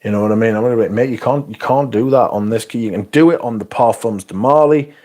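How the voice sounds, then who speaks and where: A man's voice: mid-range voice, fast, a little mellow. A man hosting a livestream, reading out chat and bantering in a sweary, sarcastic way.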